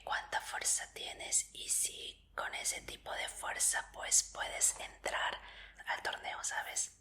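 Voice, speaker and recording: A woman doing an ASMR roleplay in a breathy whisper, gently reassuring the listener as an examiner would. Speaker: ASMR roleplayer playing a martial arts tournament examiner.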